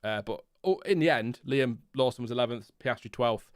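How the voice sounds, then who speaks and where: A man's voice: mid-range voice, normal speed, dry recording, clean studio sound. A British man hosting a casual race reaction, thinking aloud and rambling with many fillers. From Britain. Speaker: host.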